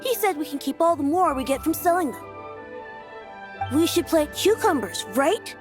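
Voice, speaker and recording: A little farm child from a video game, polite but stubborn. The voice is very high and bouncy with childlike, insistent phrasing, set over clear music. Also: female voice, expressive, moderate pace. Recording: music behind the voice.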